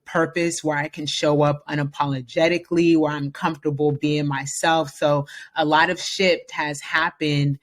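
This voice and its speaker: A woman's voice: low-pitched, flat delivery, normal speed, few pauses. Confident upbeat woman guest. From San Francisco Bay Area, US. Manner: upbeat, confident, frequent fillers and laughs. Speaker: podcast guest. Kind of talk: casual interview conversation.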